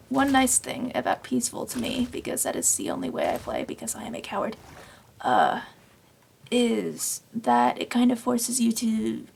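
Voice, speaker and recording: A woman casually narrating her Minecraft game, rambling and self-deprecating, her voice slightly raspy from long talking. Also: fairly high pitch. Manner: rambling, self-deprecating, talks to herself while playing. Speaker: gaming channel host. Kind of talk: casual Minecraft let's play commentary.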